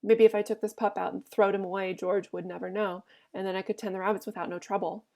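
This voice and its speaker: A woman's voice: medium pitch, flat delivery, fast talker, creaky, a little mellow, close to an everyday voice. A woman voicing a big, simple-minded, childlike farmhand man in a storybook reading, earnest and pleading in rural dialect.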